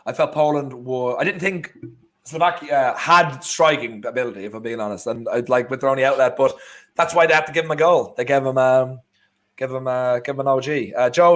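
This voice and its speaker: A man's voice: mid-range voice, fairly bright tone. A man with an Irish accent co-hosting a live football watchalong, chatting casually and rambling with frequent restarts. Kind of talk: casual live match chat.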